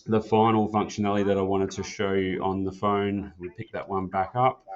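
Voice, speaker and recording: Man, deep voice, informal instructor, practical walk-through, plain-spoken, slightly muffled lo-fi recording. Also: level tone, normal speed. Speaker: instructor demonstrating an office phone system. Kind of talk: informal spoken product tutorial. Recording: dull, muffled lo-fi sound.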